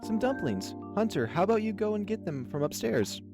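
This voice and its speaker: A man's voice: sits high, very animated, brisk, voice pushed up. A man voicing an eager, playful young male animal friend in a visual novel, with music behind. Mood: playful, teasing. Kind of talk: visual novel character dub.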